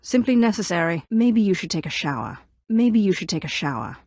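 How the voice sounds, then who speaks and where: A woman's voice: fairly low pitch, big pitch swings, brisk, a little mellow, dry recording. An actress voicing an imperious, coolly menacing female Sith in a video game, with a clipped, commanding delivery and dry sarcasm. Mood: dry, detached. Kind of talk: scripted game dialogue, standalone voice lines.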